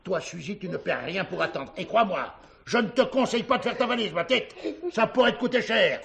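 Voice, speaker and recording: An actor playing a hot-tempered, sarcastic, threatening husband in a French crime radio drama. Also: male voice, high-pitched, lively intonation, a little fast, vocal fry, a bit bright, echoey room, exaggerated voice. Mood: menacing. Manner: menacing, sarcastic.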